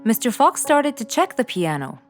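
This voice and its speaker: Lively woman storyteller over loud music. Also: a little high, normal speed. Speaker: narrator. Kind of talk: scripted children's story narration.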